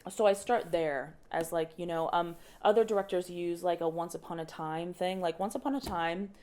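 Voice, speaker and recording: A woman's voice: fairly low pitch, normal speed, darkish tone. A young woman, an opera director, talking casually in a podcast interview, thoughtful and often restarting her sentences with light laughs. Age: young adult.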